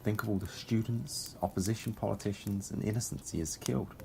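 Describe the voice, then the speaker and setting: A man playing a smug, menacing executioner and schemer in a science fiction audio drama, with a gravelly voice. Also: on the low side, fairly quick, a little mellow, poor audio. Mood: grim, controlled. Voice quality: gravelly, creaky. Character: calculating, menacing male executioner Time Lord, smug schemer. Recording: clear music behind. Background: music.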